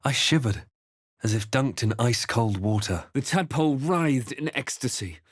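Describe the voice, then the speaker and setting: A man voicing a brooding, wry fantasy adventurer hero in a video game, with measured, dramatic delivery. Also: medium pitch, expressive, husky, no echo, clean studio sound. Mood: grave, haunted. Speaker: video game player-character voice actor. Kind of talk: dramatic first-person fantasy game narration and voice lines.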